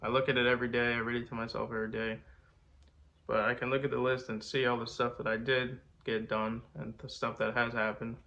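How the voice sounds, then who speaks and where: A man's voice: mid-range voice, little pitch movement, a little fast, creaky, bright, forceful tone, lo-fi sound. A man with a congested voice, talking to camera in a laid-back, slangy, rambling way. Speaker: rapper and video host. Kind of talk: casual talk to camera.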